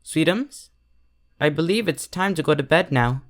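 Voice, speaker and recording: A man voicing an affectionate mother pony from a cartoon, speaking in a soft, coaxing manner. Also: fairly high pitch, lively intonation, normal speed, a little hiss or hum, voice pushed up, lightly acted. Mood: gentle.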